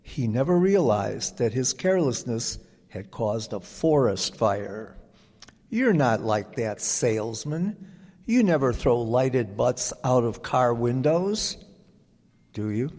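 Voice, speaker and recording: A man reading a script as an old-time radio announcer, with measured, deliberate phrasing. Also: medium pitch, lively intonation, a little mellow. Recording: echoey hall with audience present.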